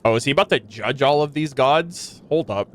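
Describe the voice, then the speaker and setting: A man reacting to a video game in a casual, slangy, joking and expressive way, as a streamer. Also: medium pitch, moderate pace, slight background noise.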